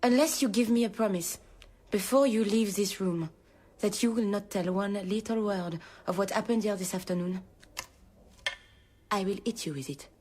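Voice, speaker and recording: An actress playing a stern, protective French governess, with a French accent and a commanding, precise manner in a radio drama. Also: female voice, mid-range voice, moderate pace, a little mellow, lightly acted. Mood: cold, menacing. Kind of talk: dramatised radio novel acting.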